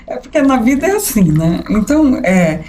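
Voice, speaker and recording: A woman in her sixties with a São Paulo accent, a journalist telling her life story as a podcast guest, animated and often asking "né?". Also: a little high, normal speed, crowd noise. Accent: São Paulo capital Portuguese. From São Paulo state. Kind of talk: conversational interview storytelling.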